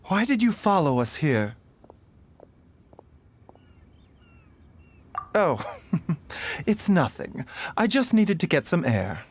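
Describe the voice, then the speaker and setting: An actor playing a gentle, frail but composed healer in a video game, speaking with formal, measured politeness. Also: male voice, a little high, very animated. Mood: guarded. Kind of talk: scripted video game voice acting. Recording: game music behind.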